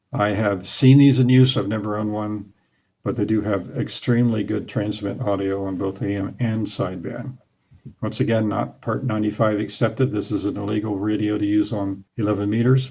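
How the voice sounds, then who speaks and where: A middle-aged man with a fairly low voice talks casually at a desk mic in a small room. He sounds relaxed and knowledgeable, pausing on fillers as he goes. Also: vocal fry, slightly rough. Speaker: radio hobby host. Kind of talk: casual talk over slides.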